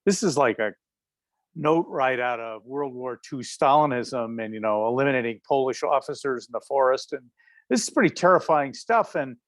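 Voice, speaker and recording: A male panelist speaking over a video call at a middle pitch. He talks in a halting, searching way, full of pauses and restarts. The sound is dry and close. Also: lively intonation, dry recording. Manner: hesitant, halting with many fillers and restarts. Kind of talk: casual panel discussion.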